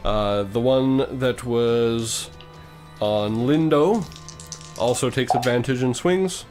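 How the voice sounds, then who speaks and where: A man's voice: medium pitch, slow, darkish tone. A man running a tabletop game as game master, improvising narration of the action and handling rules. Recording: music behind the voice. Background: music.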